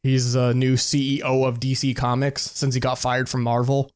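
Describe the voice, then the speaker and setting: A man with an average-pitched voice chats as a podcast co-host. His delivery is informal and slangy, with swearing mixed into loosely hedged opinions. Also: monotone, moderate pace, darkish tone, clean tone. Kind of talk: casual podcast chat about movies.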